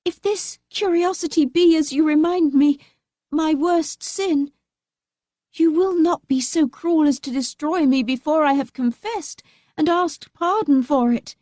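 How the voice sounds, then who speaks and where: A woman voicing a terrified young wife who pleads for her life in a high, desperate voice. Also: measured pace, warm tone, no room sound, studio quality.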